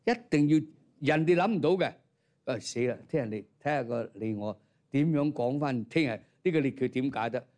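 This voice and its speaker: A man's voice: mid-range voice, normal speed, darkish tone. A man, a storyteller explaining his craft through anecdotes and rhetorical questions.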